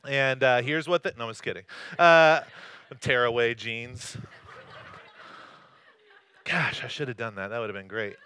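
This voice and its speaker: A man's voice: mid-range voice, measured pace, pauses often, pushed and bright, clean tone. A man from North Carolina, probably in his thirties, preaching a conversational sermon as a campus pastor, mixing jokes and self-deprecating stories. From North Carolina, USA. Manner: joking, self-deprecating, loose storytelling. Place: church service.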